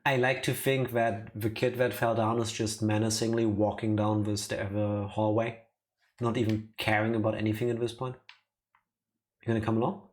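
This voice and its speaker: A man's voice: on the low side, little pitch movement, moderate pace. A man giving casual commentary as he streams a video game. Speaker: let's play streamer.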